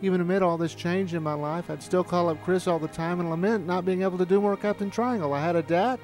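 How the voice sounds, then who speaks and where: High-pitched male narrator for a personal documentary look back, faint music underneath. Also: fast, darkish tone. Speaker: amateur audio drama producer and narrator. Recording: music bed behind the voice.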